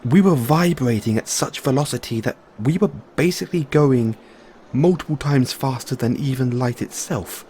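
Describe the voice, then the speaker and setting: A man playing a nervous, geeky mad scientist boyfriend in an audio roleplay, explaining things haltingly to his partner. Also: medium pitch, warm tone, a little hiss or hum, natural voice. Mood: eager explaining. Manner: hesitant, stammering, explaining at length. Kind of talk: audio roleplay acting, addressing the listener as partner.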